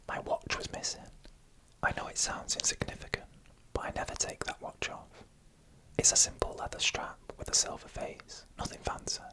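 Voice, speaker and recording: A storyteller whispering an eerie first-person tale in ASMR style, unvoiced and breathy, with deliberate, suspenseful phrasing. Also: bright, forceful tone, rough recording.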